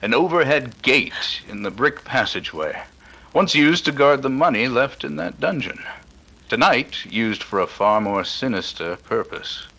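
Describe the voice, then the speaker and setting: A man playing a shrewd, authoritative detective in a 1930s-style radio mystery, speaking with deliberate, accusing authority. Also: mid-range voice, expressive, bright, forceful tone, exaggerated voice, rough recording. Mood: grave, deliberate. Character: shrewd, commanding male detective exposing a murderer.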